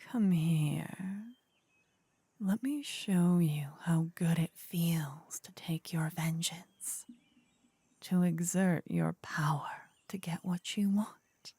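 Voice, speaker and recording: A woman acts out a possessive, sinister demon queen for an ASMR fantasy roleplay. She savors each phrase very slowly in a dark, breathy half-whisper, seductive and imperious. The sound is close and dry. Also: on the low side, dry recording, heavily acted. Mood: tender, seductive.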